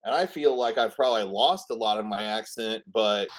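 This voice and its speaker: A man's voice: lowish voice, normal speed. A man with a lingering Southern Indiana accent, chatting casually on a group call and often hedging and restarting his sentences. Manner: hesitant, restarts and hedges.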